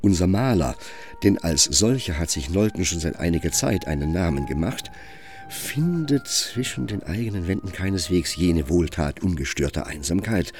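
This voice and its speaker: A man narrating a literary radio play in a measured, grave storytelling manner. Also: low-pitched, lively intonation.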